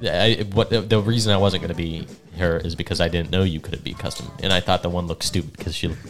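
A man's voice: sits low, steady tone, fast. A man hosting a casual gaming podcast among friends, talking enthusiastically in slangy, profane language. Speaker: podcast host. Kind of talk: casual chat among friends, game ranking. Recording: background music under the voice.

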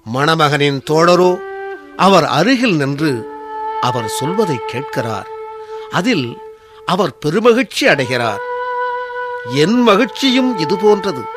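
Slow-speaking, humble prophet, formal and declamatory, lively pitch movement, radio drama. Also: male voice, over music. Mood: solemn, joyful.

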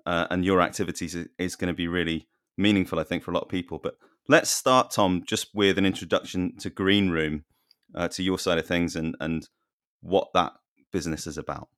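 A man with a deep voice hosts an interview, greeting his guests and asking questions in a friendly, chatty way. The sound is dry and close. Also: steady tone, brisk, fairly bright tone, dry recording. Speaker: interview host. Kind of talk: podcast interview hosting.

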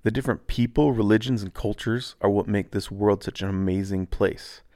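A man who grew up in Taiwan speaks in a low, unhurried register, sharing a personal reflection on his podcast. His tone is calm and earnest, like a laid-back guy talking plainly. The recording is dry and close, with no room sound at all. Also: dry recording. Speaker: podcast host.

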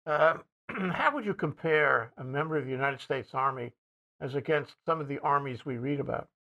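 Bright, halting male interviewer. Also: medium pitch, expressive, muffled recording. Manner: halting, many fillers and restarts, clears throat. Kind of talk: interview questions.

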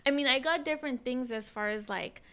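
A woman co-hosting a casual podcast, chatty and quick to laugh, with many fillers. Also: sits high, a bit bright, smooth. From United States. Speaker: podcast co-host. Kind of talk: casual conversational chat.

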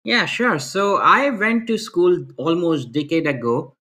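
A man's voice: sits high, very animated, measured pace, bright, forceful tone. A man, answering interview questions earnestly over a video call, restarting phrases often and ending points with "right?". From Pakistan. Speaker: guest.

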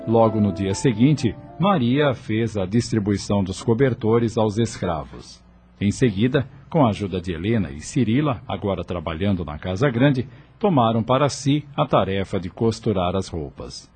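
A man narrating a radio drama in a measured, expressive storytelling voice. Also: lowish voice, husky. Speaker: narrator and announcer. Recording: music beneath the narration.

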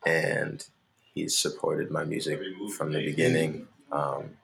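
A young man from Maryland with a very deep voice that drops into a gravelly fry. He talks as an interview guest in a relaxed, rambling way, full of "like" and "you know what I mean". The tone stays easygoing and conversational throughout. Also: unhurried, a little hiss or hum. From Maryland, USA. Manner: laid-back, rambling, many fillers.

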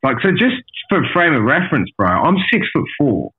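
A man's voice: on the high side, sing-song, moderate pace, breathy edge, narrow, tinny audio. A man telling his story as a podcast guest in a casual, candid, blunt way, with lots of swearing and matey address. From an island outside Australia.

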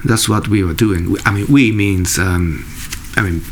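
Halting deep-voiced man. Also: steady tone. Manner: halting, searching for words, many fillers and restarts. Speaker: musician. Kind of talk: interview answers. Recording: faint background noise.

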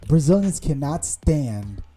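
A man's voice: a little high, slow, soft background music. A man in his thirties with an American accent, telling stories as a podcast co-host in casual chat, with many restarts. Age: 30s. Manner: rambling, animated storytelling with many restarts.

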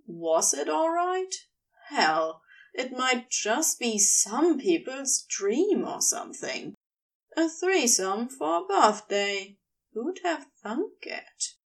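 A woman's voice: high voice, sing-song, slow, recorded from a distance. A woman narrating a scripted story expressively, as the reader of an amateur audio fiction piece.

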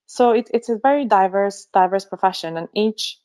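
A woman's voice: mid-range voice, moderate pace, a little mellow. A middle-aged woman from Finland, a pharmacy leader giving an interview, speaking thoughtfully with frequent ums and easy laughs. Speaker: pharmacy association chief executive, guest. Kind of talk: remote interview.

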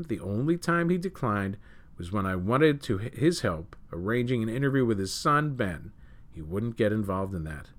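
A man reads a scripted article as a news program host, in a mid-pitched voice that moves up and down a great deal. His delivery is measured and story-like, leaning firmly on the openings of phrases. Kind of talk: scripted narration, reading a written article aloud.

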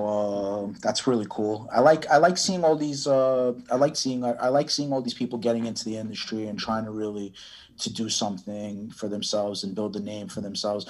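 A man giving casual interview answers, halting and often restarting his phrases. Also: medium pitch, breathy edge. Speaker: cannabis brand owner, podcast guest.

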